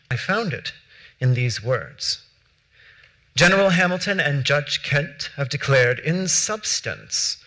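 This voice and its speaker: Brief: a proud founder; emphatic, measured, bright and forceful. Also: male voice, a little high, low-quality recording. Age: young adult. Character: proud, articulate young founding statesman, man. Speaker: stage actor reading letters. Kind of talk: dramatic period letter reading. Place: large theater stage. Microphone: headset mic.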